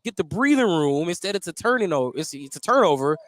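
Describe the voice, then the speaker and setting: A man's voice: fairly high pitch, sing-song, a little fast, a little hiss or hum. An American man doing live play-by-play basketball commentary on the radio.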